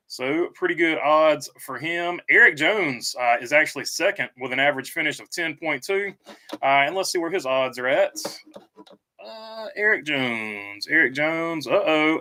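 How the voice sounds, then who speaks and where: Male streamer, bright tone, halting and casual, reads viewer comments aloud. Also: on the high side. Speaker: livestream host. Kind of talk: casual live stream chat.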